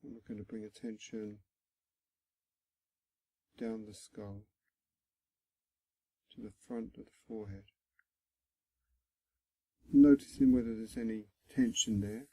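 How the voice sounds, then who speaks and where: Male meditation guide, gentle and very unhurried, with a creaky, mellow voice in a close, dry recording. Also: on the low side, steady tone, dry recording, poor audio. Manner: gentle, instructional, with frequent pauses between short phrases. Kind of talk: guided body scan meditation.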